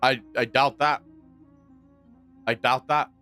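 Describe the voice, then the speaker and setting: A young man with a South African accent chatting on a livestream, answering viewers in a deliberate, blunt way, repeating his points and swearing now and then. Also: medium pitch, fairly even intonation, bright, forceful tone, smooth, soft background music. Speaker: streamer.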